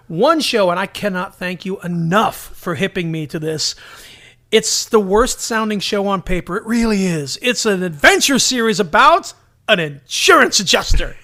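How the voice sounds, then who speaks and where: A man co-hosting a podcast, chatting casually and enthusiastically in an animated, joking way. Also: high-pitched, normal speed. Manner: animated, joking, slips into mock announcer lines. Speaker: podcast co-host.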